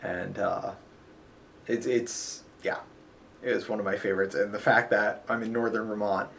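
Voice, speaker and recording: A man's voice: lowish voice, fairly even intonation, normal speed, vocal fry, fairly bright tone. A man with a sniffly nose, chatting casually and rambling about a road trip, full of fillers. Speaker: vlogger. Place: hotel.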